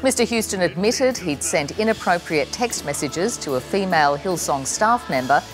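Female news reporter, lower-pitched, formal and measured, music bed underneath. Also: animated.

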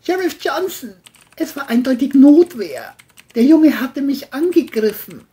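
A woman's voice: high-pitched, animated, a little slow, mellow, voice pushed up. A woman voicing a brazenly lying male killer in an audio crime story, with a mock-polite, evasive manner. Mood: defensive.

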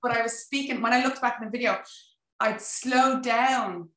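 A woman's voice: fairly high pitch, moderate pace. A woman in her thirties with a strong Dublin Irish accent, chatting animatedly as a guest on a video call. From Ireland. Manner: chatty and animated, gestures, frequent "you know". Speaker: guest, business coach. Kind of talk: casual video-call interview. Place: home office, indoors. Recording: echoey room.

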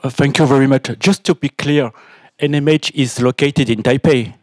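A man with a French accent, a native French speaker, asks a long, halting question from the audience at a conference. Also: mid-range voice, fairly even intonation, a little mellow, no echo. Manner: hesitant, many fillers and restarts. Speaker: audience member asking a question.

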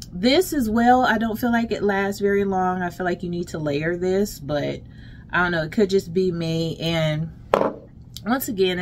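A middle-aged American woman chatting casually and emphatically to her viewers as a lifestyle vlogger, in an unhurried way. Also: on the low side. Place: closet at home. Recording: clear background noise, home vlog.

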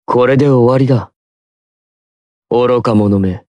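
A man's voice: medium pitch, a little mellow, dry recording, close to an everyday voice. A man voicing a young god of the underworld in an anime battle game, cold, haughty and grandly disdainful. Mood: cold, contemptuous. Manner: slow, grand, disdainful pronouncements.

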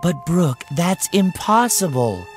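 Male narrator as a mother, concerned, disbelieving, measured questioning, expressive pitch, storybook reading. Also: soft background music. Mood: worried, coaxing. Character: worried, disbelieving mother pressing for the truth. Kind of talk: audiobook character acting.

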